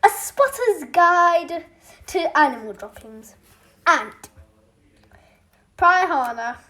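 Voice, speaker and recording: A high-pitched reader tells a children's story aloud. The delivery is slow and halting, with small stumbles that get corrected along the way. It is scripted storybook narration. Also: very animated, bright, forceful tone.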